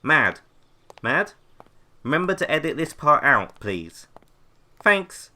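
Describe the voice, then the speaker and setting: A man's voice: on the high side, sing-song, slow pace, bright, forceful tone, exaggerated voice. A man playing an awkward, apologetic, hesitant young intern hosting a podcast in an audio comedy. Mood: sheepish. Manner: nervous, self-deprecating, hesitant. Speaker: podcast host. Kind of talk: scripted audio comedy drama, mock podcast.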